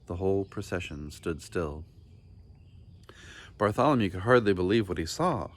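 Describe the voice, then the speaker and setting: A man narrating a children's storybook in an expressive, deliberate and dramatic storytelling style. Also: deep voice. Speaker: storybook reader.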